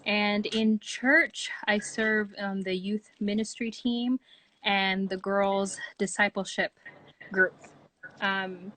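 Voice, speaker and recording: A woman's voice: medium pitch, fairly even intonation, slow pace, fairly bright tone. A woman from Tanzania, giving friendly, casual answers as an interview guest. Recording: slightly noisy online call.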